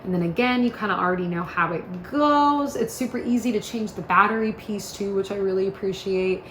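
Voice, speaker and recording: A woman's voice: mid-range voice, brisk, fairly bright tone. A woman giving a casual product demonstration in an upbeat, informal, chatty way. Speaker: product presenter. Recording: echoey room with faint noise.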